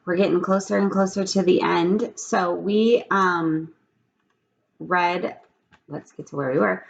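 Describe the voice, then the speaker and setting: A woman's voice: fairly low pitch, fairly even intonation, unhurried, gravelly, lo-fi sound. A woman reading a children's storybook aloud, expressively and with asides, speaking straight to young listeners.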